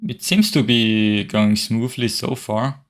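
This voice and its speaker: An Austrian man commentates a game tournament in a relaxed, unhurried way. His voice sits a bit low, and he drawls with slow pacing. He fills pauses with hesitant ums and soft chuckles. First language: German.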